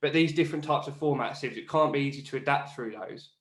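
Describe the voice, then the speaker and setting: A man's voice: medium pitch, fairly quick, a bit bright. Chatty Geordie man in an echoey room. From Newcastle, England. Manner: chatty, matey, leading questions. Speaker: podcast host. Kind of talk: casual interview.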